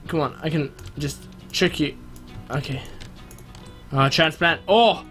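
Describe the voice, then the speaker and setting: A young man giving casual, excitable gameplay commentary in an animated way, often interrupting himself. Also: a little high, slow pace, soft background music. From born in New Zealand, spent first three years there. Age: young adult. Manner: animated, self-interrupting, prone to exclamations. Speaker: gaming video host. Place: small room at home, indoors.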